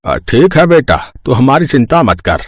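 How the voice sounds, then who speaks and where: A man voicing a kindly, resigned older village father in a cartoon story, giving gentle advice. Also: medium pitch, very animated, normal speed, a little hiss or hum, natural voice. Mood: reassuring. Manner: gentle, advising.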